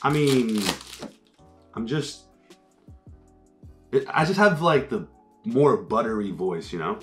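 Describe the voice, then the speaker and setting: A man's voice: mid-range voice, takes it slowly, soft background music. A young man co-hosting, chatting casually and calling out card names as he opens packs. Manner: lively, calls out card names, excited outbursts. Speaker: co-host opening card packs. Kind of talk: casual chat while opening packs.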